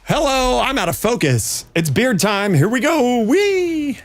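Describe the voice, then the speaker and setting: A man's voice: very high-pitched, sing-song, unhurried. A man hosting a casual livestream, chatting in a playful, rambling way. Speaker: pinball stream host.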